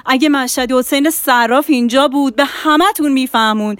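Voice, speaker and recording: A woman playing a shrill, scolding wife. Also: clean tone, dry recording, clean studio sound. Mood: indignant. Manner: shrill, scolding, shouting insults. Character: quarrelsome married woman, loud and sharp-tongued. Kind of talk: audiobook character acting.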